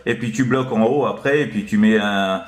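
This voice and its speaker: A man chatting casually as a CB radio operator, halting and repetitive. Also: on the low side. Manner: halting, repetitive, full of verbal tics. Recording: roomy, echoing room sound.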